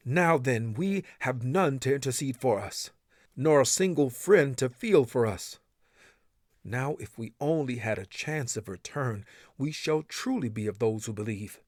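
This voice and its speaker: A man gives a solemn, scripted recitation of scripture. His mid-pitched voice is deliberate, with dramatic phrasing. The sound is dry, with no room around it. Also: expressive, a little mellow, dry recording. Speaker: reader of a scripture translation.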